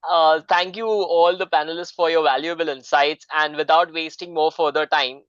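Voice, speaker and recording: A man moderates an online seminar, polite and a little hesitant, with frequent fillers. His voice comes through smooth and clear. The call audio is dry and somewhat dull. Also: fairly high pitch, fairly even intonation, moderate pace, a bit bright, dry recording. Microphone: video call.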